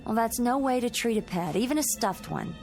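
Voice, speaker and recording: An actress playing an anxious, soft-hearted wife in a mid-century television drama, earnest and fretful. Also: female voice, on the high side, expressive, a little fast, soft background music. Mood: uneasy, fretful.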